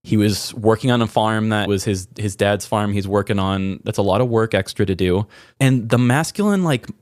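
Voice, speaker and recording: Low-voiced man in candid, reflective conversation. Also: moderate pace, darkish tone, no echo, studio quality. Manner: introspective, informal, occasional swearing. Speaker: podcast host sharing a personal story.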